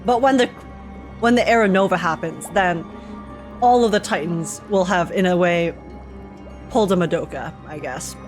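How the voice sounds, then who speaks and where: A woman's voice: fairly low pitch, moderate pace, pauses often, soft background music. A woman streamer giving casual, emotional live commentary on a game in loose fannish slang.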